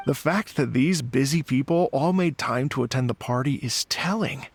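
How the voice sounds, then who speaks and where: Courteous earnest gentleman, husky medium-pitched voice, formal appreciative delivery, video game dialogue. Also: normal speed. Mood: admiring.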